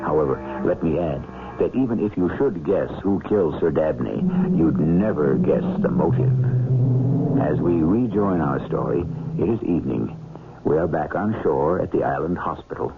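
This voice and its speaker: A man's voice: extremely low pitch, animated, normal speed, noisy background. A man hosting a radio mystery drama, narrating from a script in a theatrical way and putting rhetorical questions to the listener. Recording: tinny old radio broadcast recording. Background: music.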